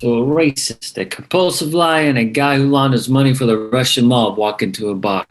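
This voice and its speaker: A man's voice: medium pitch, fairly quick, natural voice. A man playing a cocky, crude mob boss with a brash manner in a screenplay table read. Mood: jovial, joking.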